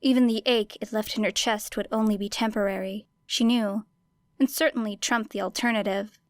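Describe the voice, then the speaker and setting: A woman's voice: medium pitch, steady tone, moderate pace, no echo, clean studio sound. A woman reading a story aloud as scripted audiobook narration. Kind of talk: scripted fan fiction narration.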